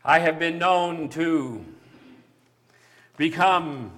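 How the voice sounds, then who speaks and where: A man preaching a sermon with a big voice that grows animated at times. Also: on the high side, very slow, long reverb tail. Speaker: pastor.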